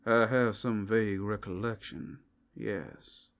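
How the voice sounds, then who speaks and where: A man's voice: lowish voice, steady tone, takes it slowly. A man voicing a skeptical, guarded male werewolf who speaks tersely in a fantasy ASMR roleplay.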